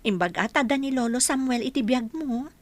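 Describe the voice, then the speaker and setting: A woman's voice: high-pitched, brisk, close to an everyday voice. A woman playing a supportive, admiring friend in an Ilocano radio drama. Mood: admiring, encouraging. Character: supportive, admiring woman congratulating a new doctor.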